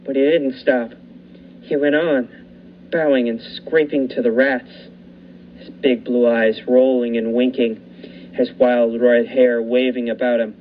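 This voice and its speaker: A young man narrates a radio thriller in the first person, keeping a steady pace as he builds the tension. His pitch sits in the middle range. The recording is dull, with a faint hiss behind it. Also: takes it slowly. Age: young adult. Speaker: narrator and lead actor.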